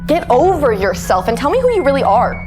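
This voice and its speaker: A woman's voice: high-pitched, very animated, brisk. An actress playing a feisty, sceptical young single mother in an audio drama, with a blunt, challenging manner. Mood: defiant, scornful. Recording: music behind the voice.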